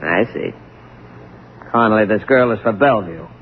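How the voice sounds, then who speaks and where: Actor as a brusque police sergeant, tinny radio sound. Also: male voice, fairly low pitch, moderate pace, close to an everyday voice. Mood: skeptical questioning. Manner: brusque, sardonic. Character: gruff, skeptical male police sergeant. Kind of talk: vintage radio drama acting. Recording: tinny, noisy vintage radio recording.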